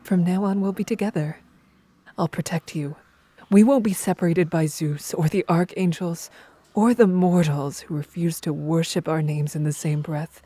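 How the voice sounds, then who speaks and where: A woman playing a commanding, tender yet scheming goddess queen in a dramatic audio drama, speaking in a measured, persuasive way. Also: lowish voice, warm tone, breathy edge. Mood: tender, protective.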